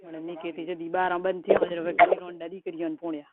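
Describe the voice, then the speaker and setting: A woman's voice: fairly low pitch, level tone, fast talker. A middle-aged woman with a rural Rajasthani Marwari accent, talking colloquially and scoldingly in a private phone conversation. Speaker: caller, relative giving advice. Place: recorded telephone call. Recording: tinny phone line, noisy call recording.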